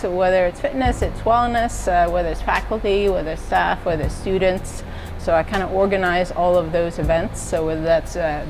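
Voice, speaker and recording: A middle-aged woman from Norway, a university fitness and wellness director, answering interview questions in a relaxed, conversational way with frequent fillers. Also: lowish voice, noisy background. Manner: conversational, many "uh" and "so" fillers, laughs lightly. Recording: music playing underneath.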